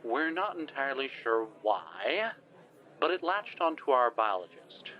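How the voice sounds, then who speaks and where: A man's voice: medium pitch, bright, forceful tone, low-quality recording. An actor playing a flustered, formal older male scientist in an audio drama, speaking wordily with nervous hesitations. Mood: hesitant.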